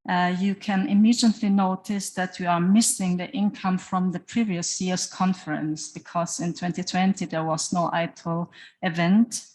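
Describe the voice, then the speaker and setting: A woman whose first language is German reads out a treasurer's report at a general meeting. Her voice sits on the lower side and her delivery is measured and careful, with a few restarts. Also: level tone, a little mellow, low-quality recording. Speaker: association treasurer. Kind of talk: formal report reading.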